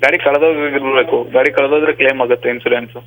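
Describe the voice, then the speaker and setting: A man's voice: mid-range voice, steady tone, rapid-fire, phone-quality sound, crowd noise. A man answering a loan question on the phone in a blunt, impatient manner. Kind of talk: phone conversation.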